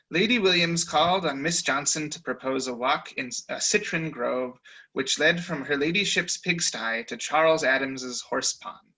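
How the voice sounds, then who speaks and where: A man narrating a comic period story in a wry, deliberate way over a video call. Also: on the high side, pushed and bright, rough recording.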